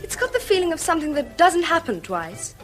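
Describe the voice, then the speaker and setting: A woman's voice: very high voice, very animated, fairly quick, fairly bright tone, soft background music, full character voice. An actress playing a wistful, affectionate young English daughter in a period radio drama, in a girlish, coaxing manner. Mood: dreamy, wistful. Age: young adult.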